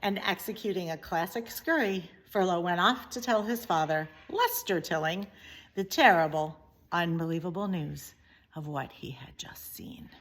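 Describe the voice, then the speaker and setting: A woman narrating a children's story expressively, with deliberate, dramatic emphasis. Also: fairly low pitch. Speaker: story reader, teacher.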